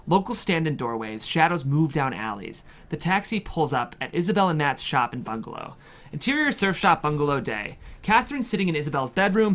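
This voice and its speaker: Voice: mid-range voice, a bit bright. A narrator reading screenplay scene headings and action lines at a table read. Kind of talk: scripted narration of screenplay stage directions.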